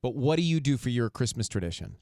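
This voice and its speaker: A male radio host chatting casually with a guest, thinking out loud as he talks. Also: medium pitch, very animated, quick pace, dry recording. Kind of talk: casual radio interview chat.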